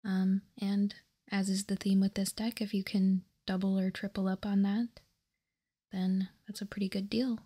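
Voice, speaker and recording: A woman whispering softly and breathily in an ASMR style, calm and unhurried, as she hosts a card walkthrough. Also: medium pitch, breathy edge. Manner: calm, unhurried, reading out and commenting. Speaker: host of a card game ASMR channel.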